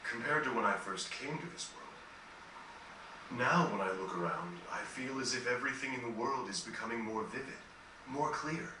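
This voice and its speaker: A man playing a gentle, melancholy dying composer in a fantasy game, speaking in a reflective, earnest, measured way with formal phrasing. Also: medium pitch, lightly acted. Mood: wondering, reflective. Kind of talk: dramatic game cutscene dialogue. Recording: distant, echoey, noisy game capture.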